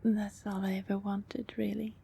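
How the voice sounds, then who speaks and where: A woman playing a lovelorn, anxious vampire neighbor in an ASMR roleplay, pleading haltingly and often slipping into breathy whispers. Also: mid-range voice, level tone, warm tone. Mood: tender, hesitant.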